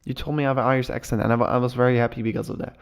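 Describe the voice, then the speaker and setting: A young man hosting a live cryptocurrency stream in casual chat, speaking English as a learned second language, excitable and full of repeats and restarts. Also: medium pitch, rapid-fire, creaky. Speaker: crypto livestream host.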